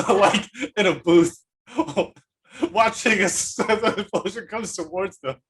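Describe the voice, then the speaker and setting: A man with a fairly high voice and a husky, rough edge hosts a casual podcast chat. He rambles and circles his thoughts haltingly, with a self-deprecating touch. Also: fairly bright tone. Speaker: podcast host.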